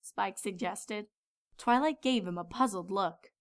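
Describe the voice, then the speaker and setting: A woman's voice: medium pitch, unhurried. A woman reading scripted storybook narration as the narrator. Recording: music behind the voice.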